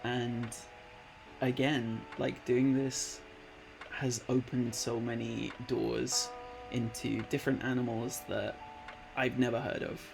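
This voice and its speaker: A man's voice: mid-range voice, little pitch movement, takes it slowly, gravelly, music in the background, rough recording. A man in his late twenties chatting casually on a live stream, rambling with lots of fillers and easily delighted. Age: late 20s. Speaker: art streamer.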